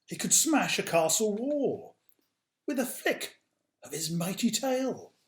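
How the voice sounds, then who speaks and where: A man with a fairly high voice tells a children's story. He is unhurried and very animated, leaning on key words and dropping into hushed asides. The room has a clear echo. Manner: dramatic, drawn-out emphasis with hushed asides to young listeners. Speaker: author reading aloud own picture book. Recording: roomy, echoey sound.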